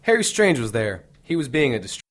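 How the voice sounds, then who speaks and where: An actor playing a young, easily riled police detective in an audio drama, defensive and earnest. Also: male voice, mid-range voice, sing-song, brisk, a bit bright. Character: touchy, indignant young male police detective.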